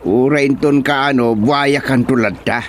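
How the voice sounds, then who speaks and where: A man's voice: medium pitch, steady tone, moderate pace, close to an everyday voice. A man playing a naive, wary villager in a radio comedy-drama, with hesitant exclamations. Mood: doubtful. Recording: background noise, water ambience.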